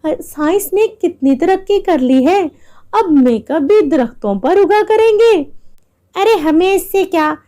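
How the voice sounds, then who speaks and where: A woman's voice: extremely high pitch, expressive, measured pace, mellow, pitched above the performer's own voice. A woman voicing a selfish, vain young sister in a story, pert and a little whiny. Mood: surprised, eager.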